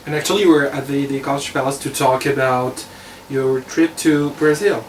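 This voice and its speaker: A man's voice: medium pitch, steady tone, normal speed. A man interviewing a guest, asking short prompting questions. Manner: brief prompting questions, frequent backchannels. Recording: echoey room, distant mic, noisy.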